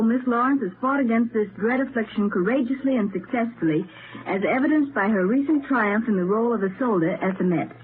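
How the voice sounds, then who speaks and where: A woman reading scripted guest introductions in a 1930s radio style. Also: on the high side. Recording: tinny, noisy 1939 radio broadcast.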